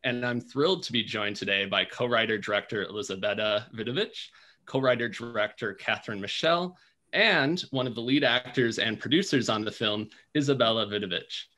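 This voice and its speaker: A man's voice: lowish voice, brisk, pushed and bright. A man hosting a film Q&A over video, polished and enthusiastic in how he frames his questions. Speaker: host. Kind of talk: interview hosting.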